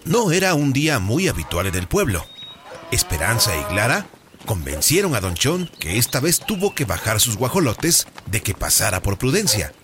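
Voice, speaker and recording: A man with a Mexican accent narrating a scripted health radio serial in an expressive announcer style. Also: mid-range voice, normal speed. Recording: music bed behind the voice.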